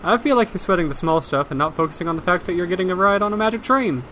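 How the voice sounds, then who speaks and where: A man's voice: sits high, rapid-fire, pushed and bright, a little hiss or hum. A man playing a blunt, unbothered magic user with a dry, pedantic manner in a comedic audio drama. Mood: matter-of-fact. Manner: dry, matter-of-fact, pedantic. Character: Mike, blunt unbothered magic user guiding his reluctant friend.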